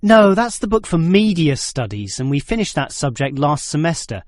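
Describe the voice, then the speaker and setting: Male student played by a man, young, critical and precise, animated intonation, scripted dialogue, dry acoustic. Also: fairly high pitch, normal speed, dry recording. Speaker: student in a listening test dialogue. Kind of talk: scripted exam dialogue acting.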